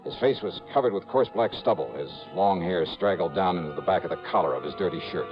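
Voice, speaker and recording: Low-voiced hard-boiled investigator. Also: male voice, animated, fast. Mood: tense, wry. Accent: American. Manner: clipped, dramatic, wry. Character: hard-boiled, wry male insurance investigator narrating his case. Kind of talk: 1950s noir radio drama narration. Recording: muffled old radio recording, music underneath.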